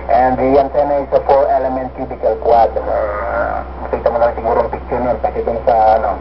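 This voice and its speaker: A man's voice: mid-range voice, little pitch movement, brisk, gravelly. A man with a Filipino accent chatting casually as an amateur radio operator, mixing in English and radio jargon. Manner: code-switches into English, uses radio jargon. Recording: dull, very noisy amateur radio signal.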